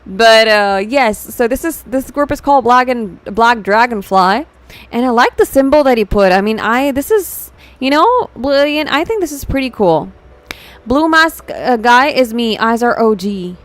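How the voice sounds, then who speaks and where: A woman's voice: fairly high pitch, expressive, normal speed, dry recording. A woman with an Indian accent hosting a casual livestream, chatting informally with viewers and slipping between English and Hindi. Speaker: VTuber livestream host. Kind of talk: casual livestream chat reacting to fan art and viewers.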